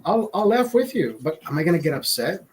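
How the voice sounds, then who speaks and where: A man in his forties with a notably high voice, chatting loosely to his livestream viewers. He rambles, swears freely and restarts phrases, and he sounds a little tipsy as he sips beer. His pep talks swing loud and emphatic. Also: sing-song, a little fast. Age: 40s. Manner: loud emphatic motivational rants. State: drinking beer, a bit tipsy. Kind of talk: casual livestream chat.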